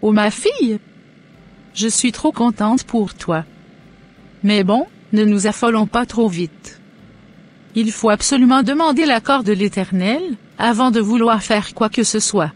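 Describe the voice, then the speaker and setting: A woman's voice: a little high, unhurried. A woman voicing a devout, blessing-giving mother. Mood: gentle. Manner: solemn, blessing-heavy, churchy phrasing.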